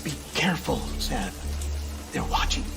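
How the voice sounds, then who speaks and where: A man playing an earnest, secretive scientist in a game cutscene, speaking in measured, confiding exposition with wry asides. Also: music in the background, close to an everyday voice. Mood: uneasy, confiding. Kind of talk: cinematic game cutscene acting.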